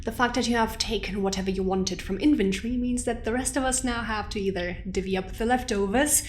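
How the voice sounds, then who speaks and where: A woman's voice: fairly high pitch, brisk, a little hiss or hum, natural voice. A woman playing a stern, businesslike scientist in an audio drama, speaking bluntly with a clipped, reproachful manner. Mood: irritated, firm.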